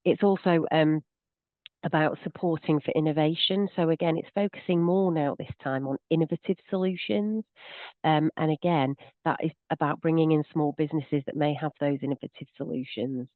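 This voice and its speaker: A woman's voice: deep voice, fairly even intonation, moderate pace, no echo. A woman with a slight cold, explaining a legal topic in a chatty, self-deprecating way on a video call Q&A with many restarts. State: has a cold. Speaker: solicitor. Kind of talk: webinar Q&A explanation. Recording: dry tinny video call audio.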